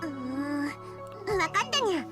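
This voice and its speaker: A woman's voice: high voice, sing-song, a little fast. A woman voicing a bubbly, playful maid girl in a Japanese visual novel, with cutesy cat-like sentence endings, over game music. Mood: curious. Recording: loud game music behind.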